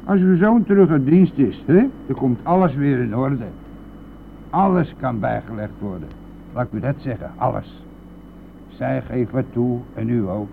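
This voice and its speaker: A man's voice: mid-range voice, measured pace, gravelly. Through a narrow, tinny old radio recording with faint vehicle noise comes a chatty, nosy driver. He talks in a crude, colloquial, insistent way, pressing his listener as in a Dutch radio drama. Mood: reassuring. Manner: garrulous, colloquial, chuckling, insistent. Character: chatty, nosy male driver, crude and jovial, pestering silent passenger. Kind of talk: Dutch radio play acting.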